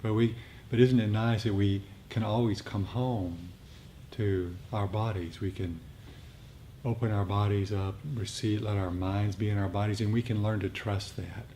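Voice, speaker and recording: A man leading a meditation group, speaking off the cuff in a reflective, halting way with repeated phrases. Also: fairly low pitch, fairly even intonation, dark tone. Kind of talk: unscripted reflective talk on meditation practice. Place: meditation group meeting room. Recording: noisy live room recording.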